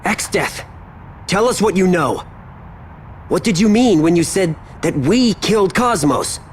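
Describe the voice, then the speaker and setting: An actor playing a determined young hero in a video game, speaking with earnest, insistent urgency. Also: male voice, sits high, lively intonation, measured pace, a little hiss or hum. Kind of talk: dramatic video game voice acting.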